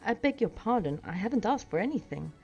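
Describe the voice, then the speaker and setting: A woman voicing a fussy, polite and excitable male hobbit homebody in a storybook reading. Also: medium pitch, fairly quick, vocal fry, voice pushed up. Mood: flustered. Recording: dull sound with music behind.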